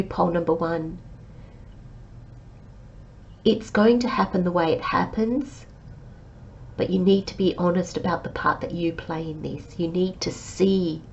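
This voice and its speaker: Slow, gravelly woman with a cold. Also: on the low side, muffled recording, slight background noise. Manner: slow, reflective, gently encouraging. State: has a cold, coughing. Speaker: tarot reader. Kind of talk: channelled tarot reading, talking to listeners.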